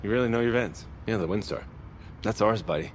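An actor playing an excitable, goofy would-be action hero in an audio drama, slangy and enthusiastic. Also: male voice, fairly low pitch, brisk, a little hiss or hum, natural voice. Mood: eager.

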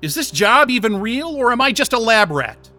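An agitated captive techie in an audio drama, high-pitched for a man and sharply tense. He is sarcastic and defiant, with anger and fear pushing at his words. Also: big pitch swings, normal speed, bright, forceful tone, soft background music, full character voice. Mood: angry, accusing. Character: angry, sarcastic, panicked male software developer held captive.

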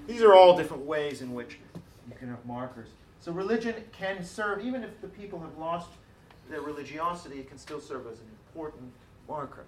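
A man giving a university lecture in a conversational way, thinking aloud with fillers and restarts. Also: medium pitch. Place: lecture room. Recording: roomy hall recording from far off, faint hiss.

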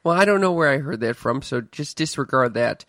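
A man's voice: mid-range voice, lively intonation, brisk, fairly bright tone, dry recording, clean studio sound. A man in his twenties co-hosting a casual podcast, wry and defensive, stammering often. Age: 20s. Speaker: podcast co-host, stand-up comic. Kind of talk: casual chat.

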